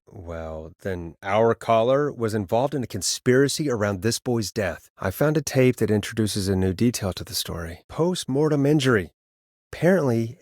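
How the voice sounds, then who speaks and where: A man's voice: on the low side, no echo, lightly acted. Casting: male actor for uneasy radio host playing detective in a video game, conversational, wry, close dry recording. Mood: puzzled, investigative. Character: male late-night radio DJ turned uneasy amateur sleuth. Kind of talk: naturalistic video game voice acting.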